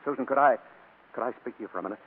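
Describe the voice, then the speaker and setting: An actor playing a pleading, comically persistent husband, stammering and exasperated in 1940s radio comedy style, on a muffled old broadcast. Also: male voice, medium pitch, racing, slight background noise, lightly acted. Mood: miserable, coaxing.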